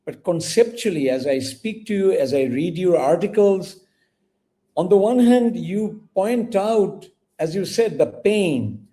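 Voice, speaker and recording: A man's voice: high voice, animated, measured pace, dark tone. A man interviewing a guest, courteous and meandering, with frequent fillers and restarts. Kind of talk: interview conversation.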